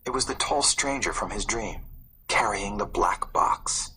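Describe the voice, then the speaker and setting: A man reading a story aloud as a narrator, in a suspenseful, expressive way. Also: fairly low pitch, measured pace, slightly rough, rough recording. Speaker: audiobook narrator. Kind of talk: dramatic scripted storybook narration.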